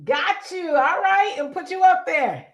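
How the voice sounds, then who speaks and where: A woman hosting a casual live-stream chat, lively, calling her callers brother. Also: very high-pitched, unhurried. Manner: lively, calls guests brother. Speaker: host.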